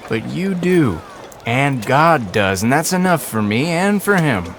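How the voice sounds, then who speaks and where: A humble, earnest monk in a game cutscene. He speaks at a middling pitch, courteous and gentle, sometimes hesitant. Street noise is clearly heard behind him. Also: big pitch swings, a little slow. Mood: kindly, persuasive. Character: humble, kindly, earnest monk collecting for the poor. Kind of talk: video game cutscene acting. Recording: noisy street ambience behind dialogue.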